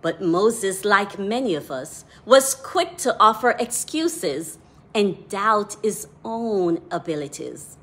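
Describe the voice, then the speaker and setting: A woman with a Jamaican accent, a devotional author giving a scripted devotional talk in a measured, deliberate way. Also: mid-range voice, animated, a bit bright.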